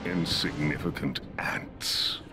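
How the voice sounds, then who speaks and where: A man's voice: extremely low pitch, steady tone, slow pace. An actor playing a pompous, theatrical male conqueror villain in a video game, with a grandiose, gloating delivery. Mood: scornful, amused. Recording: voice under loud game music.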